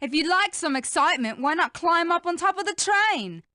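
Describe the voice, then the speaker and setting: A woman's voice: very high voice, animated, moderate pace, bright, forceful tone, no echo, studio quality, heavily acted. A woman voicing a cocky, flirtatious animal villain in a cartoon video game, teasing and mocking.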